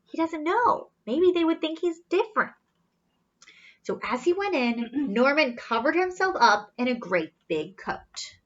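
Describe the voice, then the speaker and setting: Woman, children's storybook reader, high-pitched, swooping expressive delivery, talks directly to kids. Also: dull audio, slight background noise. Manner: expressive, questioning, with gasps and drawn-out emphasis. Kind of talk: animated read-aloud with simple asides to children.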